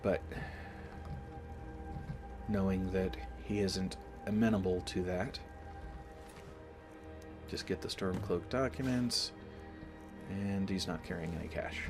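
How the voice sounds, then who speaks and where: A low-pitched man hosts a casual gaming video with a slight cold in his voice. He explains things deliberately, stopping and restarting, over clear background music. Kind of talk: casual let's-play commentary with in-character recaps. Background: game music.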